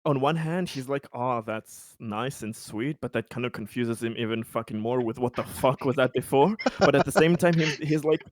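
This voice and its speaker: A man's voice: medium pitch, normal speed, few pauses, dry recording. A man playing a tabletop game who swears freely, laughs often and acts out his character's worried inner thoughts. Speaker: player. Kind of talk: animated tabletop game chat.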